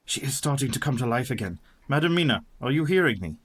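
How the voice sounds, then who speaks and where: An actor playing a grave, kindly professor and vampire hunter in a radio play, speaking in formal, earnest, courtly phrases. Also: male voice, mid-range voice, big pitch swings, quick pace, a bit bright, a little hiss or hum. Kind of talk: radio play dramatic acting.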